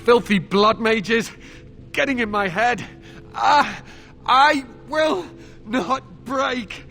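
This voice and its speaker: A young holy knight held captive and tormented, in a dramatic video game scene. His voice is high for a man, full of anguish, bitterness and fear. He pleads with great intensity over background music. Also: extremely slow, sharp tone, full character voice. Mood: defiant anguish. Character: tortured, delirious young male templar captive, bitter and fearful. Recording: music under the voice.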